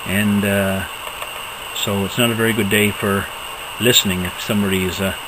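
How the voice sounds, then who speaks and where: A man with a fairly low voice talks through a piece of gear in a casual, unscripted way. His delivery is halting, as if thinking aloud. There is clear background noise in the recording. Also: flat delivery. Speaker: hobbyist presenting radio equipment. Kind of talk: casual unscripted product walkthrough.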